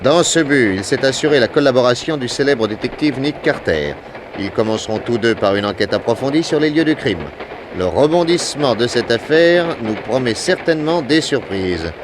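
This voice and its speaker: An actor playing a shrewd, brisk and cautious private detective in a French 1960s radio drama. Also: male voice, medium pitch, lively intonation, natural voice. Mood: reading aloud. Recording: noisy old radio recording.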